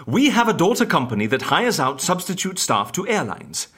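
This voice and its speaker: A man playing an eccentric, theatrical agent in an audio drama, with a husky voice and a flamboyant, animated manner. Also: fairly high pitch, moderate pace, exaggerated voice. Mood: scheming, gentle. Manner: animated, flamboyant, old-fashioned exclamations. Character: eccentric, theatrical male agent who stages fake deaths.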